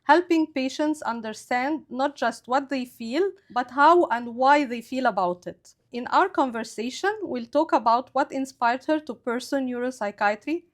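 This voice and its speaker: A woman's voice: high voice, normal speed, few pauses. A woman hosting a health podcast, reading a prepared introduction and asking interview questions in an upbeat, smiling way. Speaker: podcast host.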